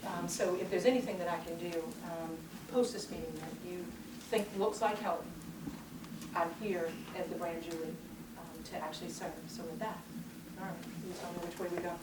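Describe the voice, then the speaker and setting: A confident middle-aged woman delivers a motivational keynote with an emphatic, rhythmic cadence, her voice sitting fairly low. She is picked up from a distance in a large, echoey conference hall, with clear background noise. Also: fairly even intonation, moderate pace. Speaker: keynote speaker. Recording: roomy hall sound, distant mic, noisy.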